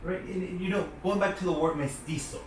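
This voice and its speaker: A man's voice: high voice, a little fast. A man conducting an interview, hesitant and restarting his words as he asks questions. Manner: hesitant, repeats words when starting. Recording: very echoey room, distant mic, faint noise.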